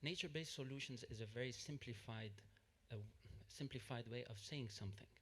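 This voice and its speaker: A man's voice: mid-range voice, fairly quick, a bit bright, breathy edge. Man with an earnest, explanatory tone. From Lebanon. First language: Arabic. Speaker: audience member. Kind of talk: audience comment at a panel.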